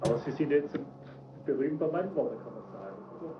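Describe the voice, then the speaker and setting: A man's voice: on the high side, lively intonation, fast talker, lo-fi sound. A man asking questions in an informal interview. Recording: noisy, roomy archive interview.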